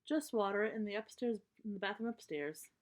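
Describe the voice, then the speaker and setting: A woman's voice: mid-range voice, animated, fairly quick, close to an everyday voice. A woman reads as a cheerful mother bear from a children's picture book. She is easygoing and happily wrapped up in her own hobbies, speaking to her family in a breezy way. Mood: cheerful, brisk. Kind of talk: children's storybook read-aloud.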